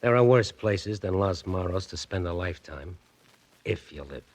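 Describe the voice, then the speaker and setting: A husky, lowish-voiced American gangster speaks in curt threats. He is a menacing henchman from a 1940s radio crime drama, terse and hard. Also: expressive, normal speed, soft background music. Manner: curt, threatening tough talk.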